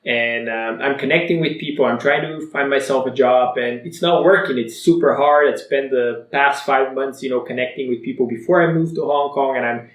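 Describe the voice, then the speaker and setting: Bulgarian man, twenties, Bulgarian native, upbeat vlogger telling his story, mid pitch, roomy echo. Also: moderate pace. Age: 20s. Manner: energetic, motivational, conversational. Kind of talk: personal story to camera.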